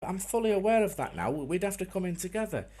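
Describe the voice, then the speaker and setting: A man making a prank call as a customer, speaking in a deadpan, mock-earnest way with hesitant, repeated phrases. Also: high-pitched, fast talker. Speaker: prank caller.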